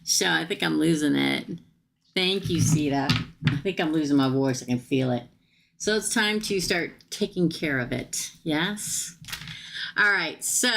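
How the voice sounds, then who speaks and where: Tarot reader, woman, low gravelly voice, chatty with viewers, tired and getting hoarse. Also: a bit bright, slight background noise. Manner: chatty, addresses viewers directly. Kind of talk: live-stream tarot reading.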